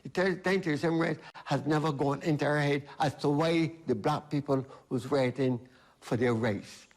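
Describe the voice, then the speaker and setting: A man with a Barbadian accent and a slightly raised voice. He speaks earnestly and forcefully in an interview, repeating words for emphasis. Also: a little high, moderate pace. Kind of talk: opinionated interview.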